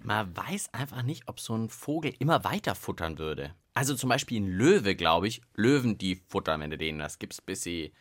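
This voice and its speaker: A man's voice: lowish voice, sing-song, normal speed, few pauses. A man co-hosting a children's science podcast, talking informally and conversationally. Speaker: podcast host.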